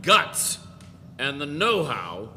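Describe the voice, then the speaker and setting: Man acting a very slow, maudlin drinker. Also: mid-range voice, wildly swooping pitch, pushed and bright, heavily acted. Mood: morbid, grave. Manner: drawn-out, dramatic. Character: maudlin, morbid male drinker and artist. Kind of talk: dramatic reading of a novel. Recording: noisy reverberant hall.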